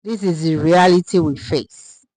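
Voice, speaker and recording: A woman narrator voicing a calm, firm father in a storybook tale, speaking in his steady, serious tone. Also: lowish voice, a little slow, no room sound, rough recording.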